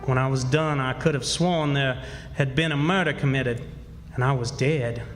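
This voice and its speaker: A man's voice: mid-range voice, fairly even intonation, normal speed, soft background music, natural voice. A man playing a wily runaway country boy who tells his own adventures in rustic speech for a radio drama. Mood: sly, self-satisfied. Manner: rustic ungrammatical storytelling. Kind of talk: first-person radio drama narration. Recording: live stage hall with reverb.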